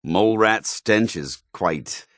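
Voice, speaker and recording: An actor playing a stern, zealous soldier companion in a video game, with an American accent and a formal, earnest military delivery. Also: male voice, on the low side, animated, slow, fairly bright tone, no echo, clean studio sound. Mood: disgusted.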